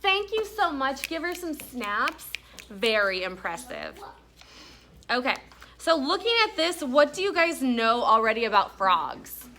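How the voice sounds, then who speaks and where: A woman with a bright, animated voice leads an elementary classroom lesson and read-aloud. She speaks at a fairly high pitch as a teacher addressing pupils, with faint noise in the room. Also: measured pace. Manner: asks questions, echoes pupils' answers.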